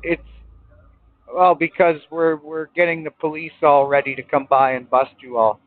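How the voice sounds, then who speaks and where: A man taunting a phone scammer, mocking, swearing and yelling at him. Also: on the high side, steady tone, moderate pace, no room sound. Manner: taunting, swearing, sings and yells. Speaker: scam baiter. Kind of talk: prank phone call. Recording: tinny phone line with music.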